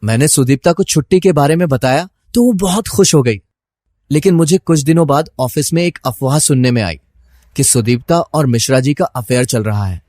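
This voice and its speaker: A man's voice: medium pitch, moderate pace, a little mellow, dry recording, clean studio sound, close to an everyday voice. A man acting as a troubled, suspicious husband who narrates his own story in a dramatized first-person style.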